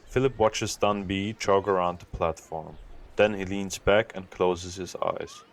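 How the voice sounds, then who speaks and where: A male narrator with a deep, low voice. He reads scripted audio drama narration, telling the story in the third person. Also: steady tone, measured pace.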